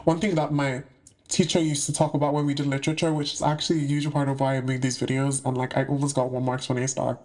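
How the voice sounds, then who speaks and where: Chatty young man, high-pitched voice. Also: darkish tone. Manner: chatty and slangy, with playful asides. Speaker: spiritual content creator. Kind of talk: casual monologue to camera.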